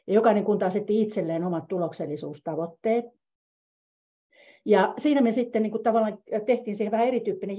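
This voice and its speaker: A woman's voice: lowish voice, mellow. A middle-aged woman on a video call, speaking reflectively and repeating words as she thinks. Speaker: meetup participant. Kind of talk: casual discussion. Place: home room, indoors. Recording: dull lo-fi video call audio.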